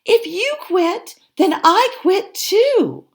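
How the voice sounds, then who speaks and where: A woman's voice: extremely high pitch, big pitch swings, drawn-out words, pitched above the performer's own voice, exaggerated voice. A woman voicing a shy, hopeful young storybook mouse with a small voice, wanting to tag along. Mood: timid resolve.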